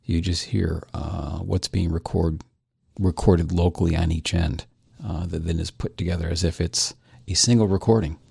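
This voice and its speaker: A man's voice: low-pitched, steady tone, moderate pace, creaky, darkish tone, breathy edge, dry recording. A man co-hosting a podcast in casual chat, rambling and self-deprecating with frequent restarts, close on a dynamic mic at home. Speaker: podcast co-host. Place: home studio, recorded locally over Skype. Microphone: large-diaphragm dynamic mic, close.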